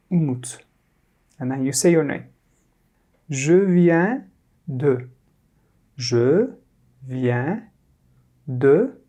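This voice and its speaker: Super slow teacher in their 30s, warm soft voice, says every word then says it again. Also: mid-range voice. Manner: models each word clearly, then repeats it. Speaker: French teacher. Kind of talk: slow repeat-after-me language lesson.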